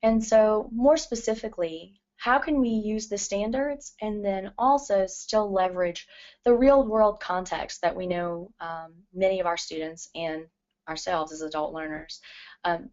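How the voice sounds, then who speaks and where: A woman's voice: medium pitch, normal speed, darkish tone. A woman in her thirties from Louisiana, a literacy professor presenting to educators in a scratchy, allergy-worn voice, with many ums. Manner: frequent ums, careful and earnest. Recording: dull lo-fi recording.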